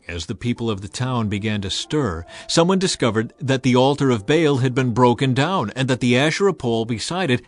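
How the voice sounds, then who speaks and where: A man narrating scripture as a scripted, dramatized audio reading. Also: medium pitch. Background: music.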